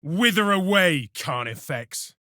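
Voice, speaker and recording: A man's voice: on the high side, expressive, takes it slowly, pushed and bright, dry recording, studio quality, exaggerated voice. An actor playing a solemn, proud space marine who declaims his lines in a video game. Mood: grim contempt. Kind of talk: video game combat barks.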